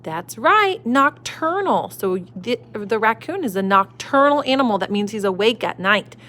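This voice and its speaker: A woman reading a children's picture book aloud from home, warm and expressive, asking her young listeners questions. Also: a little high, normal speed. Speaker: storyteller. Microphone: phone camera.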